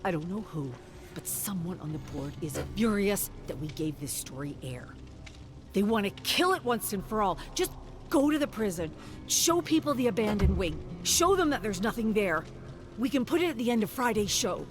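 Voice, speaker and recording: A woman's voice: medium pitch, animated, normal speed, voice pushed up, natural voice. A woman voicing an anxious male TV executive who pleads and persuades, in a dramatic game story reading. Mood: nervous, insistent. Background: music.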